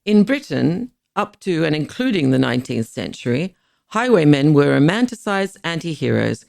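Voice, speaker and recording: Woman narrating, creaky and animated. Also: sits low, no room sound, clean studio sound. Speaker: podcast narrator. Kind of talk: scripted history narration.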